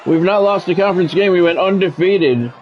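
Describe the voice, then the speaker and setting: A man's voice: high-pitched, fairly quick, muffled recording, slight background noise. An American man doing football play-by-play on a gaming stream, mixed with casual chat. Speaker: gaming streamer calling play-by-play of own game; former radio sports play-by-play announcer.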